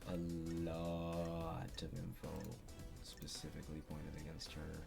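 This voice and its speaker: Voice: very deep voice, completely flat delivery, a little slow, gravelly. A gamer in a casual, sweary let's play, reading game dialogue aloud and commenting, with game music behind. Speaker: gameplay streamer and voice actor of the game's text.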